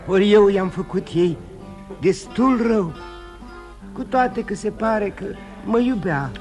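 An actor in a radio play voicing a ruined drinking man who confesses his failed life with self-loathing, halting and repeating himself. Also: sits high, darkish tone, close to an everyday voice. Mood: wistful, agitated. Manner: rambling confession, halting with emotional repetitions. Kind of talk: theatrical radio drama acting. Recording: radio play with guitar music behind.

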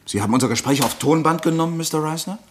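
An actor playing a defensive husband under police questioning in a radio crime drama, halting and evasive. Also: male voice, on the high side, noisy background, lightly acted.

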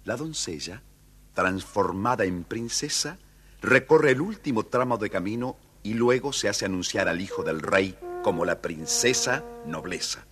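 A man's voice: lowish voice, expressive, unhurried, a bit bright. A man with an Argentine accent narrating a scripted children's story as the storyteller.

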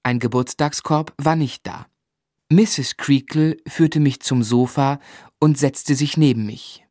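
Reflective male narrator, medium pitch. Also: slow, a little mellow, dry recording, clean studio sound. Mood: sombre, reflective. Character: adult man recounting his sorrowful childhood memories. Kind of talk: scripted first-person literary narration. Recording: faint music behind.

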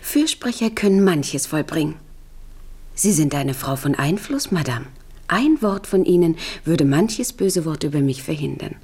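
A young woman of sweet deference and cool ambition. Her lowish voice moves in lively swings, polite and insinuating. The style is radio play acting. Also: a little slow, breathy edge, full character voice. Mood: confident, insinuating. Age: young adult.

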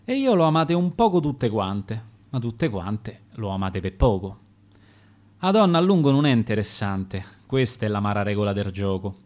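A man with a Roman accent reciting his own dialect sonnets for radio in a rhythmic, dramatic way. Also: medium pitch. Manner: rhythmic, dramatic verse delivery.

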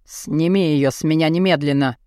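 A woman voicing a gruff, burly bearded man in an audiobook, brazen and lecherous, with a blunt, mocking way of talking. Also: sits low, normal speed, a little mellow, no echo, clean studio sound, voice pushed down, lightly acted. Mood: angry, demanding. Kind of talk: audiobook character dialogue.

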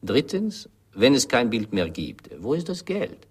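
An actor playing a falsely friendly, menacing gangster in a radio drama, theatrically polite and hesitant, with a French accent. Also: male voice, medium pitch, big pitch swings, a little slow, husky. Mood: polite menace.

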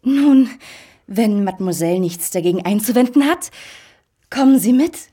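A woman's voice: medium pitch, expressive, moderate pace, dark tone, breathy edge. An actress playing a seductive, scheming married woman who speaks with ingratiating politeness in a German radio drama. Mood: coy.

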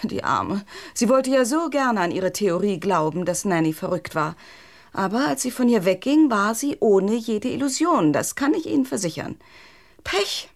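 Woman, hostile protective sister, medium pitch, sarcastic, cutting, German radio play. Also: sing-song, normal speed, creaky. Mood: scornful. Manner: cutting, scornful. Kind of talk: German radio drama acting.

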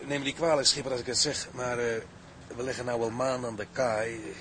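An actor playing a blunt but respectful deckhand in a radio drama, colloquial and a little hesitant. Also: male voice, medium pitch, steady tone, fairly quick, pushed and bright, lightly acted. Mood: uneasy, questioning. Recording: clear ambient noise behind.

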